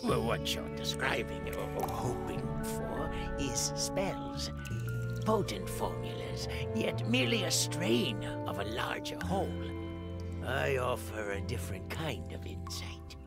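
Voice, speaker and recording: An actor playing a sly, mysterious old mystic in a fantasy game, with theatrical, archaic, drawn-out delivery. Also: male voice, medium pitch, very animated, creaky, full character voice. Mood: enigmatic, persuasive. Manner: slow, theatrical, archaic wording, drawn-out phrases. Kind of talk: fantasy video game voice acting. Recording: music behind the voice.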